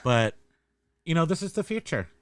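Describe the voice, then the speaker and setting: A man's voice: a little high, big pitch swings, normal speed, clear, resonant tone. A man co-hosting a livestream, chatting casually in a goofy, teasing, playful way. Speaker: co-host of a livestream. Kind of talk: casual joking livestream chat.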